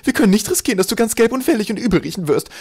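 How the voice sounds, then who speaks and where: A man's voice: high-pitched, lively intonation, rapid-fire, a little mellow, breathy edge, pitched above the performer's own voice, exaggerated voice. A man voicing an absent-minded, fussily dramatic mother in a comic children's audiobook. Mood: stern fretting.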